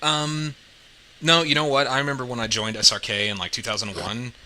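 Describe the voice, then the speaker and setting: A man in his thirties from Missouri, hosting a podcast in a relaxed, easygoing way, often laughing and speaking more quietly than his co-host. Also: medium pitch, fairly quick, rough recording. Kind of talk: casual podcast chat.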